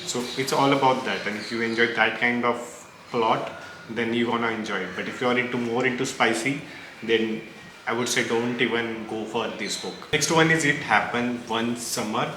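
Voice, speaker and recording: A man's voice: medium pitch, steady tone, normal speed, pushed and bright. A man with an Indian accent chatting casually and opinionatedly about books, rambling and mixing Hindi into English. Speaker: book reviewer reacting to recommendations. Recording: echoey room with clear background noise.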